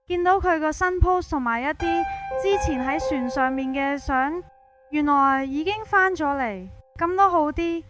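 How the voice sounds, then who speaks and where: A woman with a Hong Kong Cantonese accent telling a scripted first-person story in a colloquial, expressive way, acting out the dialogue. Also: high voice. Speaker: narrator and main character of a horror story.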